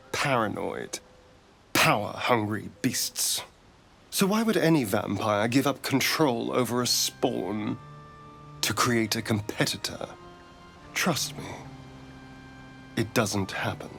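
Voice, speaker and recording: Video game vampire spawn: arch, sly, haunted, very animated pitch, light music underneath. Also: male voice, gravelly, full character voice. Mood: conspiratorial. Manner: arch, theatrical, sardonic. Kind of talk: dramatic video game dialogue acting.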